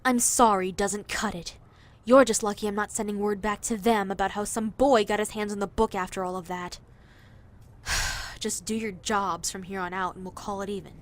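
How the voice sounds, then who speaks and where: A woman's voice: mid-range voice, fairly even intonation, normal speed, close to an everyday voice. A woman playing a cold, bossy conspirator who scolds and commands her underlings in a condescending way. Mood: stern, controlled. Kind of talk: dramatic voice acting in audio drama.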